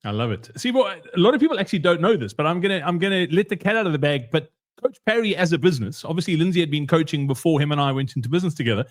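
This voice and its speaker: A man's voice: a little high, lively intonation, rapid-fire, few pauses. A South African man hosting a casual podcast interview, chatty and informal, often repeating his phrases. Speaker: podcast host.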